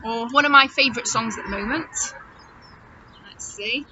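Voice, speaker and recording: A woman talking chattily to young children as their teacher, animated and full of questions and wonder. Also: a little high, normal speed. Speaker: children's teacher hosting story follow-up activities. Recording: dull audio with loud noise and clicks.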